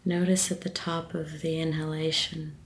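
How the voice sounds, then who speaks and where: A woman leading a guided meditation with a gentle, soothing manner and measured phrasing. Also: deep voice, flat delivery, vocal fry.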